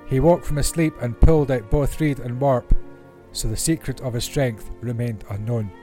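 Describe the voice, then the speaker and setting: Male Bible reader, medium pitch, scripted storytelling narration, classical music behind. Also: fairly even intonation, normal speed. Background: classical orchestral music.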